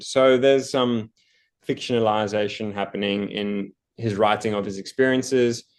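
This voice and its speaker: A man's voice: on the low side, a little mellow. A man hosting a casual book discussion, thoughtful and given to restarting and repeating words as he works through his point. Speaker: book channel host.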